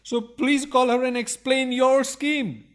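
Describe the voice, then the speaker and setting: An actor playing a cheeky, indignant burglar in a farcical radio play, protesting politely and taking things comically literally. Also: male voice, very high-pitched, little pitch movement, slow, a bit bright, exaggerated voice.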